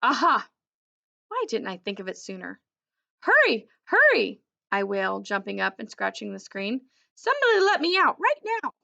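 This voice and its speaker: Expressive high-voiced woman reading a storybook. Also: gravelly, lo-fi sound. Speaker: storybook reader. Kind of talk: children's book read-aloud, expressive narration.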